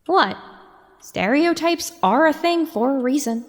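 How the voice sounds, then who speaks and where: A woman's voice: high voice, expressive, measured pace. A woman playing an anxious, vulnerable young pony mare in an audio drama, plaintive and questioning. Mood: embarrassed. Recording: long hall-like reverb.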